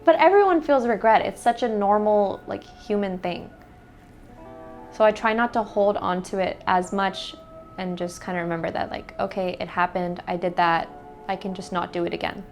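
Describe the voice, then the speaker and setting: Young American woman, casual interviewee, filler-heavy chat, mid pitch. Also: normal speed, faint music behind. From United States. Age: young adult. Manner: chatty, with frequent "like" fillers.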